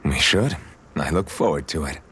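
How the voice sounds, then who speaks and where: An actor playing an easygoing, modest young swordsman with a gently teasing, casual way of talking in a video game cutscene. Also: extremely low pitch, lively intonation, normal speed, background noise. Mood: friendly.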